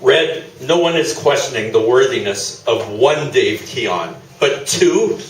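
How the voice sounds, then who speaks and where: Skeptical, needling interviewer played by a male actor, mid-pitched, dry comic delivery, roomy live theatre sound. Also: lively intonation, takes it slowly. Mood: dryly skeptical. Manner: dry, sardonic, challenging. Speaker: radio play actor. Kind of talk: comic radio play performed live on stage. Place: small theatre, live performance. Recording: echoey theatre, distant mic, noisy.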